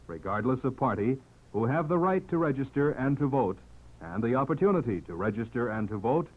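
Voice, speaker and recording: Earnest man on narrow-band old radio. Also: medium pitch. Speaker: public service announcer. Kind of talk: 1950s radio scripted narration, earnest.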